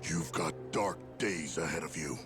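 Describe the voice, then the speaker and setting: A man's voice: rumbling low, a little slow. A man playing a proud, vengeful villain with an ominous, threatening delivery in a video game cutscene. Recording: game music behind the voice.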